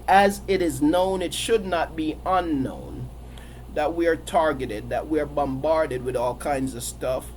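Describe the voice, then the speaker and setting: High-voiced preacher man with a cold. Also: slight background noise. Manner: repetitive, building emphatic cadence. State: has a cold, sniffling. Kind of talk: sermon.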